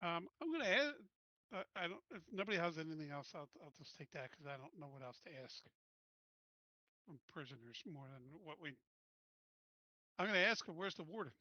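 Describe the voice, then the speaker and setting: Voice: medium pitch, very animated, a little fast, long pauses, pushed and bright, no echo, highs cut off. A player in a tabletop game asking the game master questions casually and hesitantly.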